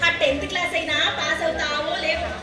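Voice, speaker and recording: A woman's voice: sits high, level tone, fast, very noisy, natural voice. A woman playing a scolding, sarcastic mother who dotes on her elder son in a stage play. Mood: nagging, sarcastic. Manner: nagging, emphatic, sarcastic. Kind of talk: amateur Telugu stage play acting. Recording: distant echoey hall, music and crowd.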